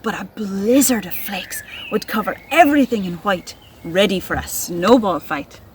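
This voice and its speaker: A woman speaking as an educator to young children, narrating and reading poetry in an expressive, deliberate way. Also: mid-range voice, slight background noise. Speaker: nature educator and poetry reader.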